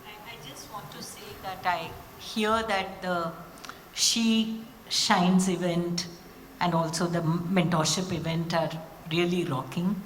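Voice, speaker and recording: Effusive woman with a lower voice in a hall. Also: steady tone, unhurried. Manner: warm and effusive with praise. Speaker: audience member. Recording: echoey hall with faint noise.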